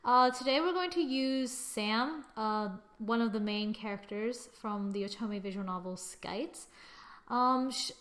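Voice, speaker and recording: A woman narrating a software tutorial casually, thinking aloud as she goes in an informal way. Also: a little high, measured pace. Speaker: tutorial presenter.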